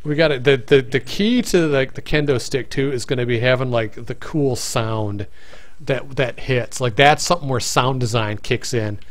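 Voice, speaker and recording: A man's voice: medium pitch, moderate pace. A man hosting a casual live stream, talking in loose rambling sentences with many restarts, his voice tiring. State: voice getting tired. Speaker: stream host.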